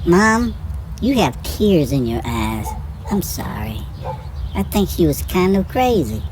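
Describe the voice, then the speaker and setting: A woman's voice: fairly low pitch, sing-song, slow pace, noisy background, full character voice. A woman playing a curious, caring young son in a hobby audio drama, earnest and full of questions.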